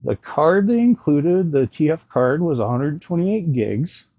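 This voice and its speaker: Husky guy doing a laid-back gadget demo, kinda rambly and unsure, voice goes up and down a lot, bit lo-fi. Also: a little high, lively intonation, unhurried, mellow, no echo. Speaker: host of a gadget review video. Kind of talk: casual unscripted product demo and review.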